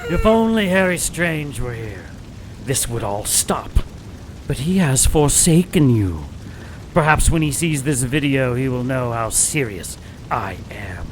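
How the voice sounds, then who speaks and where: A man playing a sadistic religious zealot in an audio drama, taunting and menacing with deliberate, preachy phrasing. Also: medium pitch, expressive, slight background noise, natural voice, poor audio. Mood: mocking menace. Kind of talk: audio drama villain acting.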